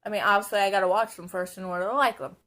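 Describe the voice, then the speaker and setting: A hoarse woman chatting casually to camera in a rambling, self-mocking way. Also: mid-range voice, steady tone, rapid-fire, pushed and bright. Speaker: vlogger. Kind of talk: casual vlog chat. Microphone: camera on a stand.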